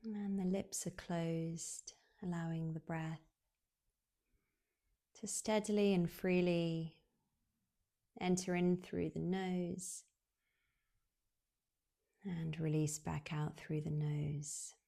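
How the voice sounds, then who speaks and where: A woman guiding a relaxation meditation in a soothing, unhurried way, leaving long pauses, with soft, breathy phrase endings. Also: on the low side, steady tone. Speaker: yoga nidra guide.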